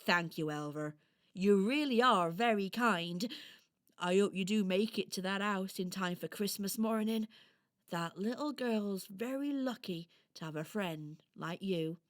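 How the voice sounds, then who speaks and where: Drowsy, kind hedgehog for children's radio play, woman performer: soothing, reassuring, unhurried, drawn-out words, dry close sound. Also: mid-range voice, no echo, lightly acted. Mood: sleepy, kind. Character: sleepy, kindly, reassuring hedgehog.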